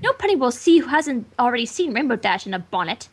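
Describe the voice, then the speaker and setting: High-voiced, elegant unicorn lady, played by a woman, fussy and coaxing, very animated delivery. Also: fast talker, a little hiss or hum. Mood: persuasive. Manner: prim, theatrical, wheedling. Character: refined, fussy, coaxing female unicorn fashionista.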